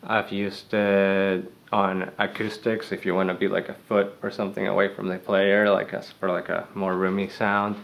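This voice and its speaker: Young male studio engineer, deep bass-heavy voice, casual tutorial delivery with fillers, low pitch. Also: little pitch movement, normal speed, creaky, few pauses. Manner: loose, conversational explaining with many fillers. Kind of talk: gear tutorial. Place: recording studio.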